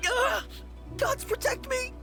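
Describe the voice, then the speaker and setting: A man's voice: extremely high pitch, steady tone, takes it slowly, gravelly, loud music behind the voice, full character voice. An actor playing a timid young rookie undertaker in an anime game, a man who stammers and pleads. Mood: terrified, pleading.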